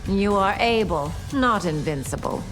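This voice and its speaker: An actress playing a cold, threatening adversary in a video game cutscene. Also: female voice, mid-range voice, lively intonation, measured pace, music in the background, natural voice.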